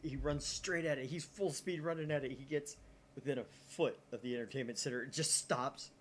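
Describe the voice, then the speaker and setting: A man hosting a gaming channel with casual, self-deprecating banter and animated storytelling. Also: mid-range voice, brisk, slight background noise. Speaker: host of a gaming channel reading a visual novel aloud. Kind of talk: casual banter and reading game dialogue aloud.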